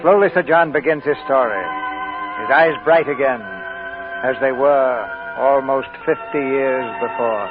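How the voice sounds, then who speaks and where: A man giving formal, measured narration as a 1940s radio announcer. He speaks at an even middle pitch. The sound is thin and phone-like, with music underneath. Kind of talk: scripted narration. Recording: tinny 1940s radio broadcast.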